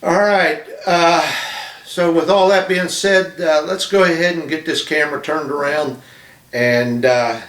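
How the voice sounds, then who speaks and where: A man's voice: fairly high pitch, fairly even intonation, a little slow, fairly bright tone. Hobbyist man talking to camera in his apartment, loose and rambling, heard from a distance. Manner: rambling, many fillers and restarts. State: losing his voice, coughing. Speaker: model ship builder. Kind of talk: casual talk to camera. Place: small apartment room. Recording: echoey room from off-mic.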